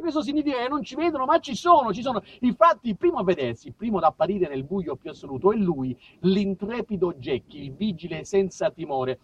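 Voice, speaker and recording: A man's voice: sits high, animated, a little fast, few pauses, lo-fi sound, background noise. A man performing a comic storytelling monologue for radio, declamatory and mock-epic, shifting between proclaiming and confiding. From southern Italy. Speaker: actor-author narrating his own monologue.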